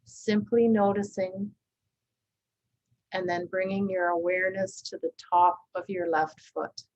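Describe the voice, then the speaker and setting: A woman leading a guided body scan meditation, speaking gently and calmly with long, unhurried pauses. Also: medium pitch, poor audio. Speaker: meditation teacher.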